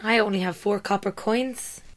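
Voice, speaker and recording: A woman's voice: mid-range voice, fairly even intonation, moderate pace, natural voice. A woman voicing an impatient, exasperated traveling companion who snips back at people. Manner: exasperated and snippy.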